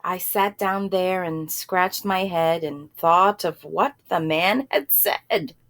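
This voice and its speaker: A woman reading a picture book aloud in an expressive, animated way, with casual asides and drawn-out phrasing. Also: lowish voice. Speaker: storybook reader.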